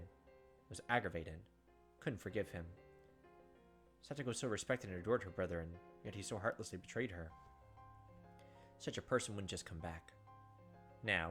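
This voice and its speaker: A man's voice: sits low, a bit bright. Man reading dramatically over game music. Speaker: gaming video host. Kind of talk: dramatic reading of visual novel text. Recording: background music under the voice.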